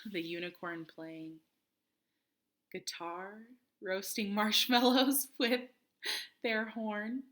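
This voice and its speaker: A woman reading a children's picture book aloud expressively, with dramatic pauses, chatty asides and questions for her young listeners. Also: mid-range voice, steady tone, fairly bright tone. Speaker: children's read-aloud host.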